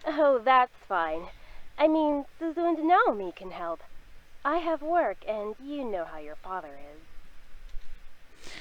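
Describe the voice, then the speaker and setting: A woman's voice: high voice, sing-song, takes it slowly, long pauses, exaggerated voice. A woman playing an affectionate, breezy, busy mother in a visual novel game, heard on a dull phone-call sound.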